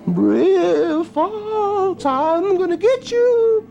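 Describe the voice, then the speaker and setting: Cocky trickster rabbit, squeaky high and swooping all over, guitar twanging behind. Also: male voice, very animated, extremely slow, creaky, warm tone, dull audio, full character voice. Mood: spooky boasting. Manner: high squealing, sing-song boasts. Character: tricky boastful male rabbit, cocky, gleeful.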